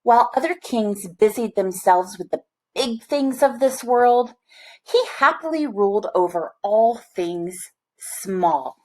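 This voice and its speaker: Lady reading a kids book out loud, slow n deliberate, medium pitch, expressive storyteller. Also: poor audio. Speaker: storybook reader. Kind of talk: read-aloud storytelling for children.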